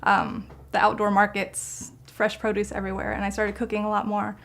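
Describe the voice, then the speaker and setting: Casual woman with heavy vocal fry. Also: mid-range voice, monotone, normal speed, fairly bright tone. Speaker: food blogger being interviewed. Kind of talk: casual conversational interview answers.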